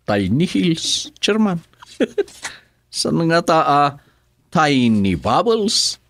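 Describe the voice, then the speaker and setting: A man with an Ilocano Filipino accent playing a cheeky village watchman in a radio comedy, teasing in a drawn-out sing-song. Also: a little high, very animated, a bit bright, exaggerated voice. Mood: playful. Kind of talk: radio drama comedy acting.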